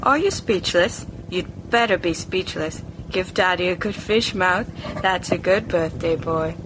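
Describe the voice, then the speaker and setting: A woman's voice: on the low side, unhurried, background noise, lightly acted. A woman voicing a mischievous, melodramatic teenage schoolboy in an audiobook, teasing and wheedling. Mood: eager, beaming. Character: cheeky, theatrical, mischievous teenage schoolboy prankster.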